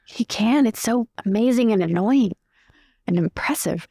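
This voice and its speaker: A female player talks over choices casually during a tabletop game. Her medium-pitched voice has clear creak, and the recording is dry and close. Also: expressive, moderate pace, warm tone, dry recording.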